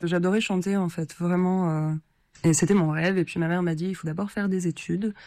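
A woman from Lithuania, a singer-songwriter, answering radio interview questions in a shy, soft-spoken and hesitant way with many fillers. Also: on the low side, fairly even intonation, a little fast, no echo, studio quality.

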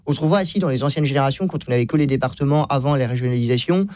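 A man's voice: mid-range voice, very fast, a little mellow, no room sound. A man speaking off the cuff as a radio debate guest, hesitant and often stammering over repeated words.

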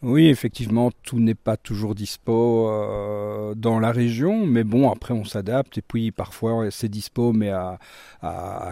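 A man's voice: on the low side, creaky, mellow, no echo. A middle-aged man from Belgium with a Belgian French accent, a chef answering radio interview questions hesitantly, with many fillers and restarts.

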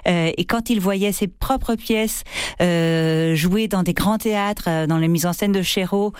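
A woman's voice: fairly low pitch, a little slow, a little mellow, clear, resonant tone. A middle-aged woman from France, an actress, speaks in a radio interview. She searches for her words, filling gaps with hesitations and repeated starts. The studio sound is dry and close, with no room echo. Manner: hesitant, many fillers and restarts. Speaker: actress and theatre co-director.

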